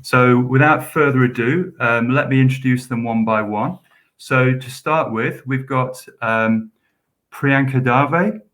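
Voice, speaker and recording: A man's voice: mid-range voice, slow, a bit bright. A man, a university student support officer, hosting a live Q&A session with frequent ums and restarts. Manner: rambling, hesitant, with many ums and repeated hopes.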